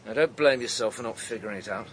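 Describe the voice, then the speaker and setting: An actor playing a middle-aged, avuncular police inspector in a radio drama, brisk, kindly and matter-of-fact. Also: male voice, lowish voice, slight background noise, close to an everyday voice.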